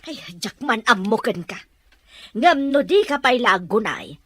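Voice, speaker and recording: A woman's voice: on the high side, lively intonation, a little slow, no room sound. An actress playing a blunt, scolding mother in an audio drama, talking in a nagging way. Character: scolding middle-aged mother, blunt and pushy. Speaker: mother of the young wife. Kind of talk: Ilocano audio drama acting. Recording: dry close mic under music.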